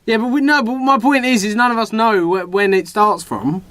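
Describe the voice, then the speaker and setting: A man's voice: high voice, a little fast, fairly bright tone, dry recording. A man co-hosting a podcast, chatting casually in a playful, contrary way. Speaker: podcast co-host. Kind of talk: casual bantering chat, brainstorming a comedy script. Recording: noisy amateur podcast recording with clicks.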